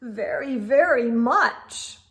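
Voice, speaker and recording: A sensitive young schoolboy , acted by a woman, high in pitch and slow to get his words out. He sounds earnest and wistful, as in a storybook read aloud. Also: animated, heavily acted. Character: sensitive, earnest first-grade boy.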